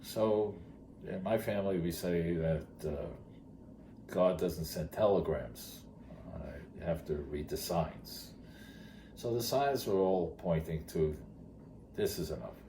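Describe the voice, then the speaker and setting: A man's voice: sits low, animated, slow, mellow. An older man telling a personal story conversationally, in plainspoken, short emphatic phrases. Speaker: retired teacher telling his story. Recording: clearly noisy background.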